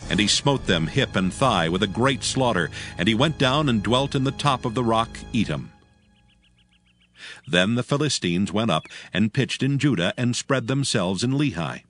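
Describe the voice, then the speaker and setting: Low-voiced solemn male Bible narrator. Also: lively intonation, moderate pace, a bit bright, faint music behind. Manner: solemn storytelling delivery. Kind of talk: scripted dramatized Bible narration.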